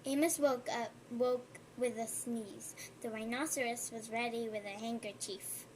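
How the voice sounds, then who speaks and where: Woman, high and light voice, unhurried storybook reader who breaks off to chat with the listener. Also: steady tone, slight background noise, rough recording. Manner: slow, gentle reading with chatty asides to the listener. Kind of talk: reading a picture book aloud.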